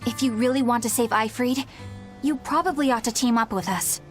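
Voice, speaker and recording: A woman's voice: a little high, brisk, faint music behind, lightly acted. A woman playing a sly, teasing witch. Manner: sly, playful, lecturing. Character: sly young female witch, teasing know-it-all.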